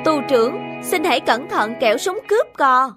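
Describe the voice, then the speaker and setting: A loyal young tribesman, calm and earnest. The high voice is deferential. It is dubbed for a folk-tale cartoon over clear music. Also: normal speed. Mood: respectful. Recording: studio voice over background music.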